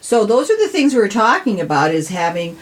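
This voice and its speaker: A woman's voice: mid-range voice, sing-song, fairly quick. An American woman talking conversationally as an interview guest, earnest and emphatic, repeating words for stress. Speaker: city council member, podcast guest.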